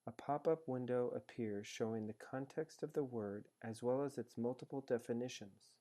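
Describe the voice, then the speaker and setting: Male narrator for a step-by-step instructional screencast; soft-edged, mellow tone, measured explanatory read. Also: mid-range voice. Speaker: narrator of a software tutorial. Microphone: screencast voice-over.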